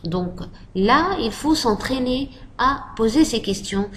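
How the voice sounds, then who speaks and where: A woman giving a French lesson, talking slowly and repeating model phrases for learners. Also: on the high side, expressive, a little hiss or hum. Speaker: French teacher. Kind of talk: slow spoken language lesson.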